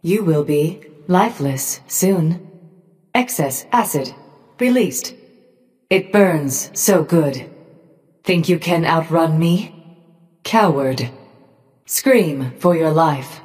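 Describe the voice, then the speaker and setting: An actress voicing a cold, cruel robotic Medusa in a video game, with a deliberate, threatening delivery. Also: female voice, sits low, expressive, a little mellow. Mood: cold menace. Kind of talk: scripted video game voice lines. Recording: long reverb tail.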